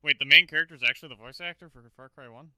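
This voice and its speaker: Guy co-hosting a podcast, talks quick, really sharp clear voice, blunt and contrary. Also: on the high side, poor audio. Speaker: podcast co-host. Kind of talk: casual banter.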